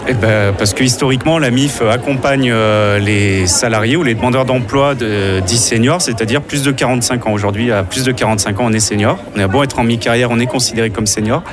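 Fast-talking male organization director. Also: mid-range voice. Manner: fluent, explanatory, assured. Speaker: director of a job-support organization. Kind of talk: explaining in a radio interview. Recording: music playing underneath.

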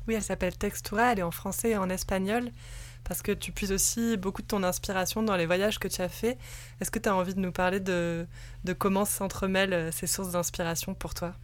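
A woman hosting a radio interview, asking gentle, open questions with small pauses. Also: medium pitch, fairly even intonation.

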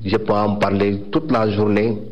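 Low-voiced man, muffled audio. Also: little pitch movement, normal speed, darkish tone. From Senegal. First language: Wolof. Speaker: migrant rights activist. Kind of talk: personal introduction. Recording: muffled lo-fi recording.

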